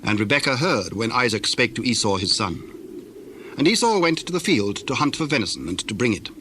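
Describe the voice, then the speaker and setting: A man narrating scripture from a script in a measured, formal way. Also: medium pitch, very animated, bright, forceful tone. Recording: faintly noisy old recording.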